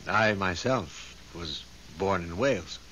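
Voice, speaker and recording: An actor playing a polite, awkward, hesitant gentleman courting a widow in a 1970s radio drama. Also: low-pitched, animated, slow pace, muffled recording, full character voice. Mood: agreeable. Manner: hesitant, mannerly. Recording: faint hiss, 1970s radio.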